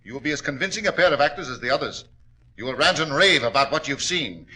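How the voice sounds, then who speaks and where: An actor playing a cold, scheming murderous miner with deliberate, smooth menace in 1940s radio style. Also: male voice, a little high, animated, bright, forceful tone, rough recording. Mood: coldly dictating. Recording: vintage 1940s radio recording.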